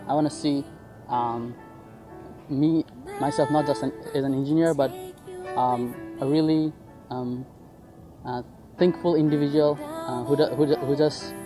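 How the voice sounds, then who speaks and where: Young man from Nepal, mid-pitched, answering with pauses and restarts over music. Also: fairly even intonation. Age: young adult. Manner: hesitant, restarts phrases. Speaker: college freshman. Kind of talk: interview answers. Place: outdoors on a lawn. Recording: background music under voice.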